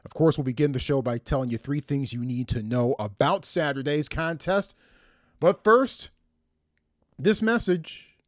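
A middle-aged man hosts a sports podcast in a somewhat high voice. His delivery is upbeat and punchy, with an announcer's emphasis on key words. The sound is very dry, with little room around the voice. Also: measured pace, no room sound. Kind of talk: scripted sports podcast presenting.

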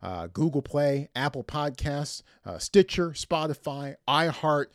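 A man's voice: a little high, expressive, unhurried, dry recording, studio quality. A middle-aged man presenting a sports podcast with the upbeat, emphatic delivery of a play-by-play announcer.

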